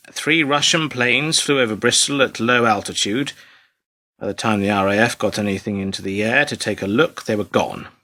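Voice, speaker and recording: An actor plays a British intelligence chief in a radio drama, a man of brusque and cynical temper. The voice is mid-pitched with a sharp, forceful edge. He speaks in a clipped, impatient, sardonic way. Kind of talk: radio play acting.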